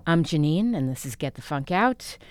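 A woman's voice: low voice, expressive, normal speed, no room sound. A woman hosting a radio show, interviewing a guest in an encouraging way.

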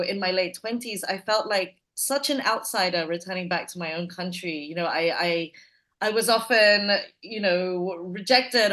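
Guest director, woman from Malaysia, animated, halting restarts, adult. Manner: animated, laughing, hesitant restarts, lively pitch jumps. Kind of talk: interview answers.

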